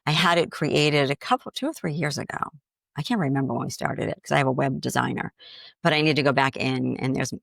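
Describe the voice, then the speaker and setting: Boston lady, bit of an accent, gravelly voice, chatty podcast guest, dry close mic. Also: rumbling low, quick pace, no room sound. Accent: slight Boston. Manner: animated storyteller, acts out inner voices. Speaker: grief coach guest. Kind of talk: casual podcast conversation.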